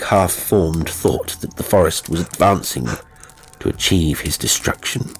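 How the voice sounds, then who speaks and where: A man reading a horror story as an audiobook narrator, in measured, suspenseful phrases that often fall to a hush. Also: low-pitched. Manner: measured, suspenseful, breaking into short weighted phrases. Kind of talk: scripted dramatic horror narration. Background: faint music.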